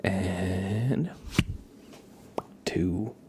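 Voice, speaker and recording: A young man giving a soft, whispered ASMR tarot reading while chatting in a relaxed, rambling way with viewers. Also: low-pitched, drawn-out words, heavy background noise.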